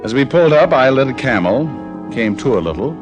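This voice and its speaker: A man's voice: mid-range voice, sing-song, brisk, highs cut off, music in the background, lightly acted. An American man playing a wry, wisecracking private detective in an old-time radio drama, with dry, sardonic banter and first-person narration. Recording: old radio broadcast recording.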